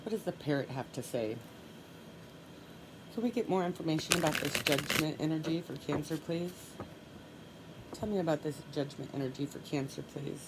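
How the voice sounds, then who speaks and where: Low-voiced, stuffy-nosed woman reading tarot. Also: normal speed, long pauses, heavy background noise. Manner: calm, softly repeats requests while drawing cards. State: stuffy nose from allergies. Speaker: tarot reader.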